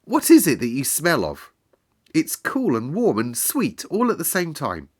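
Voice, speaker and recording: A man's voice: a little high, huge pitch swings, unhurried, voice pushed up, exaggerated voice. A man voicing a young, curious invalid boy in a storybook, exclaiming with wonder. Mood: joyous wonder.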